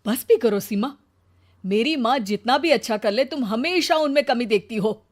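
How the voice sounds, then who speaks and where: A woman's voice: a little high, animated, moderate pace. A woman playing a devoted, protective adult son and husband in a family story, with a casual, familiar manner. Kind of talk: acted Hindi family moral story.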